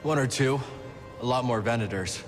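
An actor playing an earnest, determined young Jedi hero in naturalistic video game cutscenes. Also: male voice, mid-range voice, moderate pace, over music, lightly acted.

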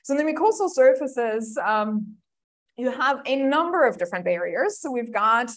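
A woman's voice: on the high side, lively intonation, moderate pace. A woman from Germany giving a friendly, chatty academic seminar talk with many ums. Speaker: guest lecturer.

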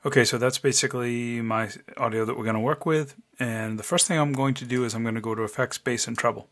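Deep-voiced man walking through software steps. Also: quick pace. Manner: relaxed, talks through each click step by step. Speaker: software tutorial host. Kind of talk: casual screencast tutorial. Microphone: desk microphone, voice-over of screen recording.

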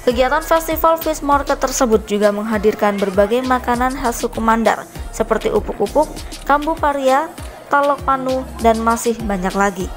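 A woman reporter, reading a scripted news voice-over in an even, measured way. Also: fairly high pitch. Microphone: studio voice-over mic. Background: background music.

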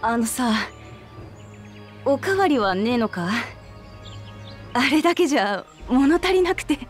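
A woman playing a brash, hearty young female adventurer in a Japanese video game, with rough, boyish, blunt speech. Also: high voice, sing-song, measured pace. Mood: friendly, eager. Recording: background music under the voice.

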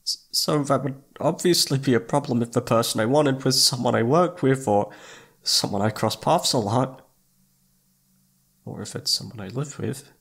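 A man playing a shy, flustered young incubus with a husky, slightly creaky voice, stammering and hesitant in a romantic ASMR audio roleplay. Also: mid-range voice, normal speed, fairly bright tone. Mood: nervous, evasive. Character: shy, flustered young male incubus roommate confessing his crush.

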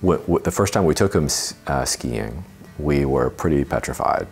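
A very deep-voiced man with a gravelly edge recounts a hard stretch of family life in a measured, steady way. He speaks on a lapel mic from a home sofa, with faint music underneath. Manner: measured, steady telling with frequent uh fillers. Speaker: parent interviewee. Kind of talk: interview testimonial. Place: living room, seated on a sofa.

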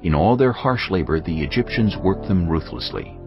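A deep-voiced man reads scripture in a measured, solemn delivery. His very low voice moves widely in pitch. Soft music plays under a slightly dull recording. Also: expressive. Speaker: narrator. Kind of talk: scripted Bible narration.

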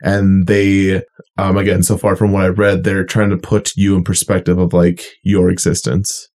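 A man's voice: deep voice, monotone, moderate pace, darkish tone. A young man co-hosting a podcast in casual chat, rambling and filling his sentences with "like.". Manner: rambling, full of "like". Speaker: podcast co-host.

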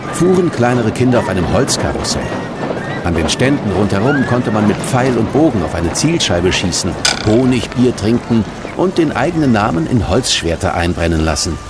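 A man's voice: lowish voice, sing-song, normal speed, loud music behind the voice. A man narrating a scripted children's story as the storyteller of an audio drama.

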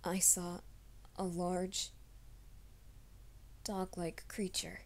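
A woman voicing a troubled young man who hides a werewolf nature, in a soft, hushed ASMR roleplay, often whispering. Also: lowish voice, flat delivery, very slow. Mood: anxious whisper. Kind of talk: fan audio drama, ASMR roleplay.

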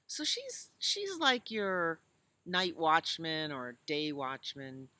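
Woman, 60s, American, children's storytime reader. Slow pacing, bright forward tone, chatty asides. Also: low-pitched, wildly swooping pitch, no echo. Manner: simple, explanatory, asking questions of young listeners. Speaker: children's librarian reading storytime. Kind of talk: reading a picture book aloud to young children, with chatty asides. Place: outdoors in a leafy garden.

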